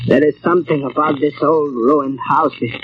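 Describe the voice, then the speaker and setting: An actor playing a superstitious, subservient manservant with buried resentment, halting and deliberate, in old radio drama style. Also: male voice, fairly high pitch, steady tone, lightly acted. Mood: timid, uneasy. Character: meek, superstitious foreign manservant, loyal but resentful. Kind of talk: 1940s radio drama acting. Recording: muffled old radio recording, noisy.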